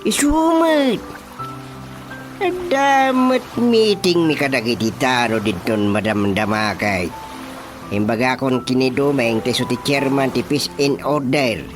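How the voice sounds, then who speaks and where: A man with a Filipino accent playing a boastful, excitable village chairman in a radio comedy drama, blustering and exclaiming. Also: medium pitch, takes it slowly, lightly acted. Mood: concerned. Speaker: actor. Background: music.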